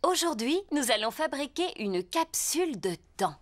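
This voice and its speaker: A woman's voice: high voice, very animated, moderate pace. A woman voicing a kindly, encouraging nursery teacher who explains things to children in a cartoon dub.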